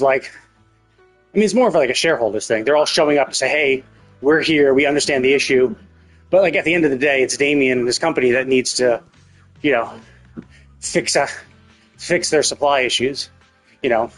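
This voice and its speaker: A man doing casual live game stream commentary, rambling and thinking out loud with casual swearing. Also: medium pitch. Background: faint game music.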